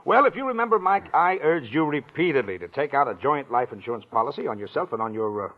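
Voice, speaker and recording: A man's voice: medium pitch, very animated, quick pace. An American actor playing a fussy, pushy insurance salesman in 1940s radio drama, stammering, bumbling and repeating himself. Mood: flustered, pushy. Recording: dull 1940s radio recording.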